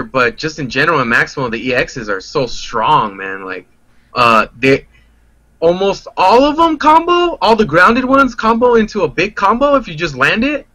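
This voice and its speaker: A man's voice: mid-range voice, bright, forceful tone, no echo, low-quality recording. A man chatting casually and analysing a game as a podcast guest, in slangy, conversational language. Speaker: guest player on a gaming podcast.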